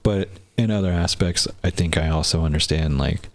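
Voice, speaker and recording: A man's voice: sits low, moderate pace. A man in his late thirties with an American accent, casually interviewing a guest as an informal podcast host. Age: 30s.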